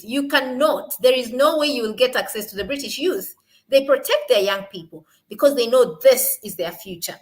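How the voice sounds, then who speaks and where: Young woman, Kenyan accent, panel speaker, emphatic, forceful on key points, fairly high voice. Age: young adult. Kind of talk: impassioned panel talk.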